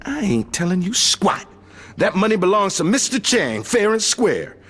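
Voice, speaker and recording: Game henchman: high, swooping voice, seventies jive tough guy, cocky kung fu taunts. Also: sing-song, normal speed, a bit bright, breathy edge, heavily acted. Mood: menacing, taunting. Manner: taunting, slangy seventies blaxploitation style. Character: brash jive-talking male kung fu henchman. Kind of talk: video game voice acting.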